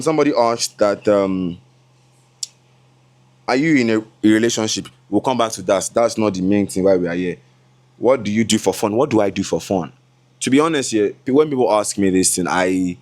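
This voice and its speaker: Chatty young Nigerian man vlogging. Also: on the low side. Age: 20s. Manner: chatty, laughing, switches into Yoruba asides. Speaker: content creator. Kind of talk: casual vlog talk to camera.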